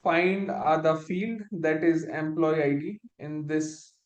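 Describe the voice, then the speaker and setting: A man with a fairly high voice walks learners through a spreadsheet task in a live online class. He is patient and methodical, often correcting himself mid-sentence. His computer mic picks up a noticeably echoey room. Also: steady tone, takes it slowly, dull audio. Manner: step-by-step, patient, restarts phrases. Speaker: instructor.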